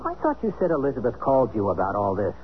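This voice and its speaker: A man's voice: mid-range voice, wildly swooping pitch, fast. An actor playing a jaunty, flustered American businessman and husband in a 1970s radio drama. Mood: frank, casual. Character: jaunty, flustered businessman and estranged husband, former college athlete. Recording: dull, noisy old radio recording.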